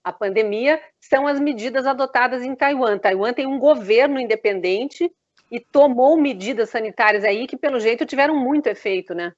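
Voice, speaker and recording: Higher-voiced Brazilian woman hosting an interview. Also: moderate pace. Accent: Brazilian Portuguese. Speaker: interviewer and live stream host. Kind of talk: live journalistic interview, conversational. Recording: dull, lo-fi online stream audio.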